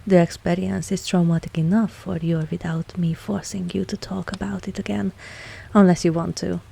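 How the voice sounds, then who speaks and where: A woman playing a dominant, seductive vampire mistress in an intimate ASMR roleplay, speaking softly and with measured, coolly protective authority. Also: lowish voice, creaky, breathy edge, poor audio. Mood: gentle, composed.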